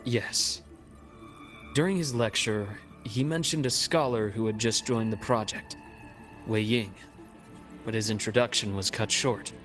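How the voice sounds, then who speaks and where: A man voicing a composed, analytical investigator in a game, speaking in a measured, explanatory way. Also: lowish voice, fairly bright tone, faint music behind. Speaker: game character. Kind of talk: game cutscene voice acting.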